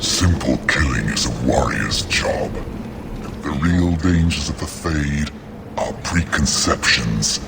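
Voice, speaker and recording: An actor playing a huge, calm, menacing demon of pride in a video game, growling slow and ominous pronouncements. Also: male voice, full character voice. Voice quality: very deep, gravelly growl. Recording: very noisy game audio with rumbling ambience.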